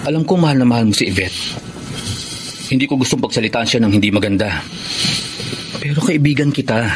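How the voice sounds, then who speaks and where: A man's voice: medium pitch, fairly quick, close to an everyday voice. An actor playing a loyal, indignant male friend in a Tagalog radio drama, urgent and earnest, with a Filipino accent. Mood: concerned, indignant. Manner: earnest, urging. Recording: noisy radio drama recording.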